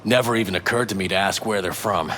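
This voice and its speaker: Low-voiced, guarded male dealer. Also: fast, a bit bright, slight background noise. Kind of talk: video game voice acting.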